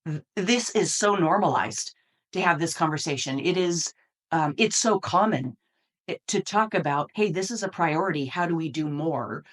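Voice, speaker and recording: Low-pitched American woman explaining earnestly. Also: animated, moderate pace. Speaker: university dean, interview guest. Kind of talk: conversational interview answers.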